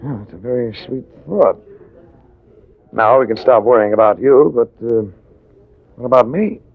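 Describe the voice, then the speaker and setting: A man's voice: medium pitch, moderate pace, close to an everyday voice. A man acting a suave, hard-boiled adventurer in a 1950s radio drama, with a wry, cool, tough-talking manner. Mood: cool, probing. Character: suave, hard-boiled male adventurer narrating his own case. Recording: muffled, hissy vintage radio recording.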